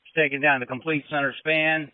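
A man's voice: fairly high pitch, flat delivery, a little fast, no echo. A man working as a fire battalion chief, giving status reports over emergency radio. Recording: narrow, tinny two-way radio.

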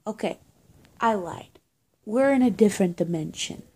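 A young woman improvising a witch roleplay to camera in a halting, confiding, breathy voice that often drops toward a whisper. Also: medium pitch, animated, a little mellow. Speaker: roleplay video creator. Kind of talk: improvised roleplay to camera, playing a witch caring for someone hurt. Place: small indoor room with bare walls. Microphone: phone or webcam at arm's length.

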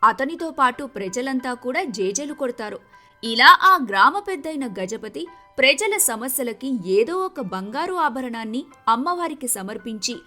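A woman tells a scripted folk tale in a high-pitched voice. Her tone is bright and her delivery is expressive, with faint music underneath. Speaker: story narrator.

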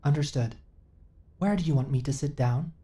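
Woman as a low, mellow, formal female android. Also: normal speed, close to an everyday voice. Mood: flat, hesitant. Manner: stilted, formal, literal, emotionally flat delivery. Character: female companion android, earnest, uncertain, eager to serve. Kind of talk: ASMR roleplay audio drama.

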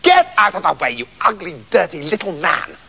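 A man's voice: sits high, huge pitch swings, moderate pace, creaky, sharp tone, husky, heavily acted. A man voicing a rude, cocky elder farm son in a comic fairy tale, sneering and boastful.

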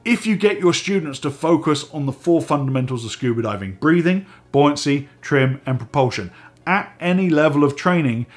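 A man in his forties hosting a casual vlog from a home studio, slightly hoarse and stuffy from a head cold, chatty and animated as he talks to the camera. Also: a little high. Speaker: host. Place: home studio room with dive gear on shelves.

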